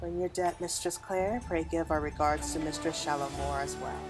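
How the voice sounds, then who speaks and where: A woman voicing a courteous lady retainer from a fantasy game, speaking in measured, archaic, formal phrases. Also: sits low, steady tone. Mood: polite, advising. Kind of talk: reading game cutscene dialogue aloud. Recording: game music behind the voice.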